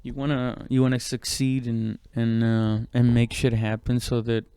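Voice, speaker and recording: Man, medium pitch, clear smooth voice, casual rambling monologue, halting delivery, heavy swearing, dry close recording. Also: flat delivery, dark tone, no echo. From immigrant, moved abroad at seven. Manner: profane, conversational, halting. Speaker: stand-up comedian podcast host.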